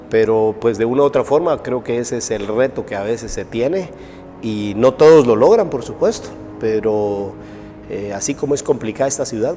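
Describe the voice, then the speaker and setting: A man with a Guatemalan Spanish accent hosting a talk show interview, asking questions in a measured, thoughtful way with frequent fillers. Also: fairly low pitch, dark tone, long reverb tail.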